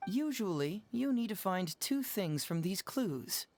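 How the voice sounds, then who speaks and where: Composed, articulate young detective. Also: male voice, high-pitched, very animated, a little mellow, full character voice. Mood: composed instruction. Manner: measured, articulate, explanatory. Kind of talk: anime-style video game voice acting. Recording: game music behind the voice.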